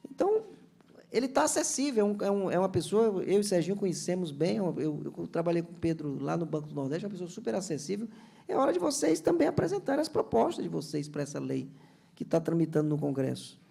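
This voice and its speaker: A man's voice: high voice, animated, quick pace, vocal fry, dark tone, breathy edge. A man from Ceará in Brazil, a government culture official giving an earnest, explanatory seminar panel speech with many restarts. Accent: Brazilian Portuguese, Ceará.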